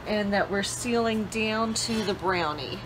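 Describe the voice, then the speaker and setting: A woman's voice: mid-range voice, a little slow, background noise. A middle-aged woman giving plain step-by-step cooking instructions in her home kitchen, with some fillers, picked up by a camera mic a few feet away. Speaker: cooking channel host.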